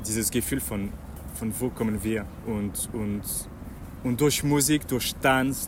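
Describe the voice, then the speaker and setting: Hesitant man, noisy street recording. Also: on the low side. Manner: hesitant, many fillers and restarts. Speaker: musician guest. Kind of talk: interview clip, searching for words.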